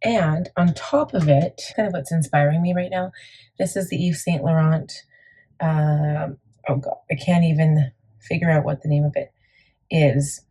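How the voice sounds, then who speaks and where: Beauty vlogger, female, deep and husky, warm mellow tone, chatty and meandering. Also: fairly even intonation, measured pace. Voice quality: gravelly, deep. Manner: chatty, rambling, many fillers. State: morning voice, a bit crackly. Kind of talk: casual makeup tutorial chat.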